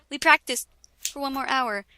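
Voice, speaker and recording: High, bright-voiced woman reading aloud, giggly. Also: dry recording. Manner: giggly, often breaking off from the reading to comment. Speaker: reader hosting a comedic fan fiction reading. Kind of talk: casual, jokey read-aloud with ad-libbed asides.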